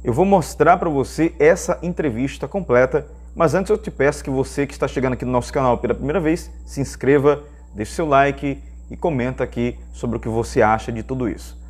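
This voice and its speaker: Male channel host, Brazilian accent, medium pitch, presenting church news and commentary. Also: brisk, hardly any pauses, darkish tone, slightly rough.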